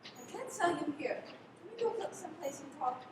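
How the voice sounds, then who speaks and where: A woman playing a frightened, mysterious woman in trouble in a radio play, pleading and secretive in an anxious, hushed way. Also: high voice, lively intonation, brisk. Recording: echoey hall from far off, noisy.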